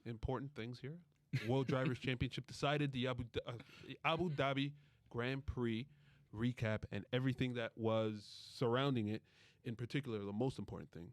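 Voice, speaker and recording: A man's voice: medium pitch, steady tone, normal speed, hardly any pauses, dry recording. A man hosting a casual sports podcast with friends, talking in a playful, bantering way. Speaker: podcast host.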